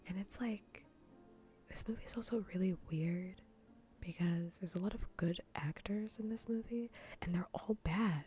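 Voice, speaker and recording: A woman livestream host chatting casually in a soft, breathy, mostly whispered ASMR voice, rambling informally and repeating phrases for emphasis. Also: lowish voice, normal speed, faint music behind.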